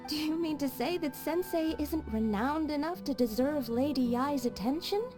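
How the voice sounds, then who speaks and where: A woman's voice: high voice, moderate pace, music in the background, close to an everyday voice. A woman voicing an earnest, devoted young student of a sword school in a video game. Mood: indignant. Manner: earnest, eager. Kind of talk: video game character acting.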